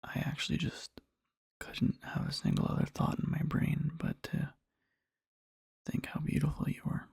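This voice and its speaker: A man playing an adoring boyfriend in a soft ASMR roleplay, murmuring slowly and tenderly to a sleeping partner in a breathy, husky whisper. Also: a little high, wildly swooping pitch, dry recording, clean studio sound, full character voice. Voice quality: breathy, husky, with creaky fry. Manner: slow, halting, tender murmuring.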